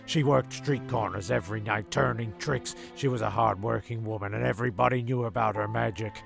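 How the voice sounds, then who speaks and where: A man's voice: fairly low pitch, brisk, creaky, music in the background. A man acting a cranky, foul-mouthed, self-pitying narrator in a comedic amateur radio play, given to indignant, stammering rants. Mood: indignant, wounded.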